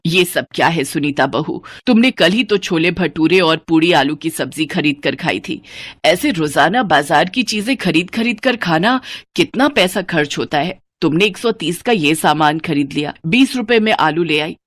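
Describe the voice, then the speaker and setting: A woman's voice: low-pitched, expressive, moderate pace, dry recording, voice pushed down. A woman voicing a frugal, reproachful elderly mother-in-law in a cartoon moral story, with a weary, scolding manner.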